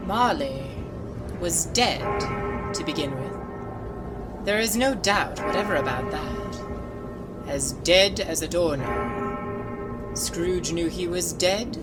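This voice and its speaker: A woman reading a classic story as a dramatic, deliberate storybook narrator. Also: lowish voice, expressive. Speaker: narrator and producer of an audiobook. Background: loud music.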